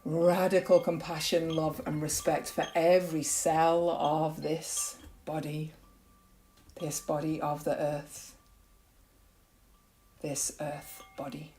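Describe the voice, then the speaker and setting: A woman with a low, mellow voice guides a meditation. She speaks very slowly and gently, leaving long pauses between phrases. Some noise sits behind her voice. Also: little pitch movement, poor audio. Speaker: yoga nidra teacher. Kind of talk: guided meditation, slow soothing narration.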